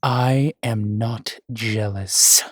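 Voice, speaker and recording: A man's voice: on the low side, steady tone, drawn-out words, dry recording, studio quality. A man playing a grumpy, jealous ghost boyfriend in an audio roleplay, with a sardonic, sullen manner. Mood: sullen, pleading.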